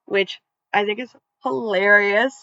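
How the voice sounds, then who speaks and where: A storyteller with a bright, forceful tone reads online revenge posts in a chatty way, tripping over a word here and there and restarting it. The voice sits close and dry, with no room sound, and the recording is a little dull and lo-fi. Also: medium pitch, steady tone, unhurried, no room sound. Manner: conversational reading, trips over words and restarts. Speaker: story channel narrator. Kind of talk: reading online revenge stories aloud with casual asides.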